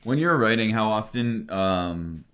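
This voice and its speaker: A man's voice: fairly low pitch, animated, unhurried, a bit bright, smooth. A man hosting a podcast, asking questions in a hesitant way and rephrasing as he goes. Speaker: podcast host. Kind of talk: interview questions.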